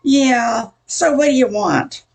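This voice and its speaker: A woman's voice: fairly high pitch, slow pace, creaky, background noise. An older woman acting a blunt, folksy, exasperated diner waitress in a comic radio play. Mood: fed up. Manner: folksy, weary, curt.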